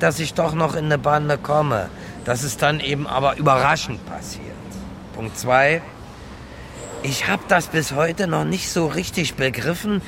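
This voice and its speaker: A man's voice: on the high side, close to an everyday voice. An actor playing a hapless homeless man in a radio play, earnestly reporting in plain, colloquial point-by-point talk. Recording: clear outdoor street noise behind.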